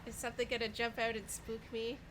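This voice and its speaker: A woman's voice: a little high, flat delivery, quick pace, background noise. A woman streaming a video game with a stuffy, congested nose, chatting and giggling playfully with her viewers. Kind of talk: casual live-stream chat while gaming. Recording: game music playing under voice. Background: game music.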